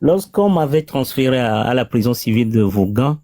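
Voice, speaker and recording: A man giving a halting, hesitant personal testimony as a guest on a live panel. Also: medium pitch, expressive, warm tone, no echo, clean studio sound.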